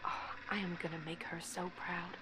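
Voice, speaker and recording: A woman's voice: on the low side, flat delivery, normal speed, lightly acted. A woman playing an eager, naive young university student confiding earnestly in an audio drama monologue. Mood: excited, proud. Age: young adult. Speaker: actor. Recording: clear background ambient noise.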